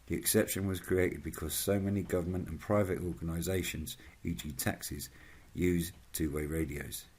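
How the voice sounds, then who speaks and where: A man with a low, husky voice narrates from a script. His delivery is formal and measured, like that of a presenter setting out information point by point for his channel. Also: fairly even intonation, darkish tone. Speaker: channel host.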